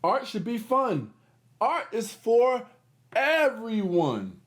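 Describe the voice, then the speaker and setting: A man reads a children's picture book aloud in a high, very lively voice. He takes his time with the story and drops in casual, encouraging comments as he goes. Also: slow. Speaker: host of a children's read-aloud series.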